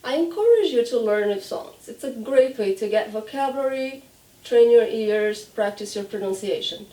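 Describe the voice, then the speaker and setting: Casting: female language teacher, Brazilian accent, native Portuguese, soft warm tone, demonstrative enunciation, recorded at a distance from the mic. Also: on the high side, expressive, moderate pace. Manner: clear demonstrative enunciation, repeats example words. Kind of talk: instructional pronunciation lesson. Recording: roomy echo, distant microphone.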